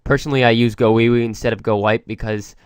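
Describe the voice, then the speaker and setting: A man's voice: mid-range voice, steady tone, fast talker, clear, resonant tone, dry recording, studio quality. A young man commentating game replays, explaining each attack step by step, with a cold wearing on his voice. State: has a cold, voice going. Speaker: gaming commentator.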